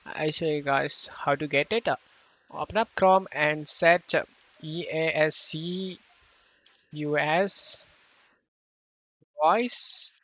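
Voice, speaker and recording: A man hosting a step-by-step software tutorial, speaking loosely phrased non-native English. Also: fairly high pitch, poor audio. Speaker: tutorial host.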